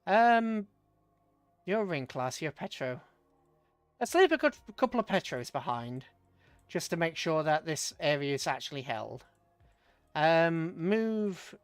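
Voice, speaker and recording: A man's voice: fairly high pitch, big pitch swings, slow pace, long pauses, clear, resonant tone, no echo, soft background music. A British man casually commentating a strategy game on a livestream, chatting with viewers and muttering rambling asides to himself as he plays.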